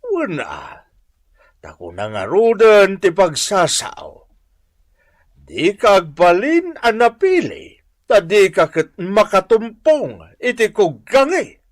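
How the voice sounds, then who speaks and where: A man's voice: high voice, wildly swooping pitch, takes it slowly, no room sound, studio quality, full character voice. A man with an Ilocano Filipino accent acting an elderly, well-off father fretting over his son in a radio comedy drama. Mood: teasing, doubtful. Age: older.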